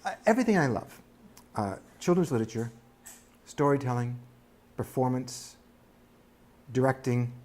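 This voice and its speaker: A husky-voiced man speaking in an interview as a company founder, earnest, in halting phrases. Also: mid-range voice, lively intonation, a little mellow. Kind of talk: documentary interview.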